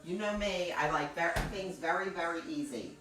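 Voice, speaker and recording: A middle-aged woman with a loud, deep voice hosts a live cooking demo from her home kitchen, chatting and rambling as she thanks her viewers. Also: moderate pace, music in the background. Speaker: cooking show host. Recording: echoey kitchen, voice from far off.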